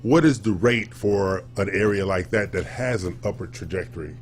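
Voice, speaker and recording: A man's voice: deep voice, normal speed, faint music behind. A man hosting a radio interview in a studio, chatting casually and agreeably with a guest. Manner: agreeable, affirming. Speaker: radio show host.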